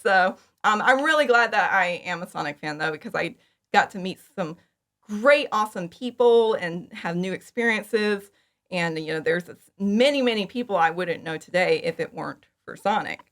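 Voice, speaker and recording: A woman's voice: lowish voice, expressive, normal speed, fairly bright tone. A woman in her thirties chatting casually as an interview guest, enthusiastic, informal and giggly. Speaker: podcast guest, fan audio drama creator. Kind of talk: casual chat, interview answers.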